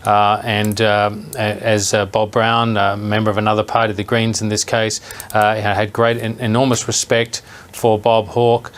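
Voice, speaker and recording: An Australian man giving live political analysis, measured and reflective, with some hesitation. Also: fairly low pitch, flat delivery. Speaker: political commentator.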